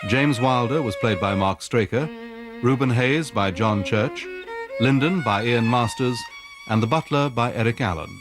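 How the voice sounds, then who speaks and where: A man's voice: mid-range voice, moderate pace. A man reading scripted closing credits as a radio announcer. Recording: broadcast announcement over solo violin. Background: violin music.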